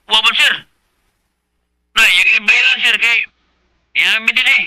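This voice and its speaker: A man with a Filipino accent playing an insistent caterer heard through a phone loudspeaker in a radio drama. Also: extremely high pitch, unhurried, no room sound, exaggerated voice, poor audio. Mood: insistent, put out. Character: insistent male caterer complaining over the phone. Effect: telephone loudspeaker filter.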